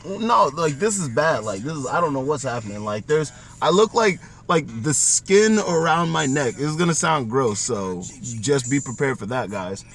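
A man co-hosting a casual podcast from a car, rambling and joking at himself, with his voice going hoarse. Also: on the high side, big pitch swings, moderate pace, few pauses, fairly bright tone. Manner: rambling, self-mocking, laughs often. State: losing his voice. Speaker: co-host.

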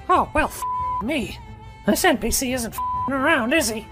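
A woman voicing a cheeky, irreverent little intruder, giggly and squeaky, in a sci-fi comedy audio drama. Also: expressive, unhurried, over music. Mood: amused, cheeky.

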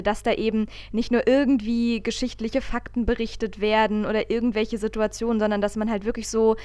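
A woman's voice: a little high, no room sound. A woman talking casually as a podcast host, telling things in a rambling, emphatic way. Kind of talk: casual conversational podcast talk.

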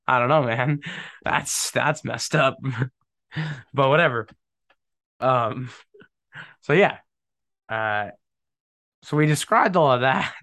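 Gravelly young man with a stuffy nose. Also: medium pitch, fairly bright tone, dry recording. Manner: rambling, laughs a lot, slangy. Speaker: podcast host. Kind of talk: casual solo chat.

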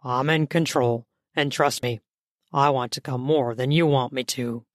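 Lady doing dominant romance guy, deep-ish strained murmur, teasing, dry sound. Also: steady tone, no echo. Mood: controlled, teasing. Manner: low, strained, drawn-out murmuring. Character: dominant, teasing male lover, controlled and aroused.

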